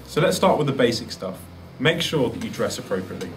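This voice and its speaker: A man's voice: mid-range voice, big pitch swings, fast talker, far from the mic, over music. A man giving an instructional presentation, as a presenter of practical advice. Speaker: presenter giving interview tips.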